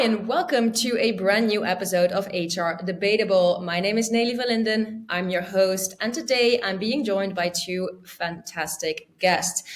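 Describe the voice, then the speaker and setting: A woman hosting a debate podcast, clear and organized, reading out prepared background before each statement. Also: mid-range voice. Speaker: podcast host.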